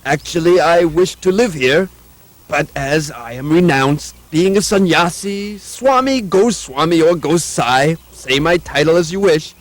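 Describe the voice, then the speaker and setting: A man's voice: on the high side, measured pace, natural voice. A man voicing a puppet of a self-important wandering swami, pompous and declamatory, in a theatrical show style.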